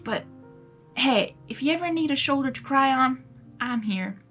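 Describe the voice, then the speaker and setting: A woman voicing a kindly, steady female cowgirl pony, consoling and plain-spoken, in a story reading. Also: high voice, normal speed. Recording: music behind the voice.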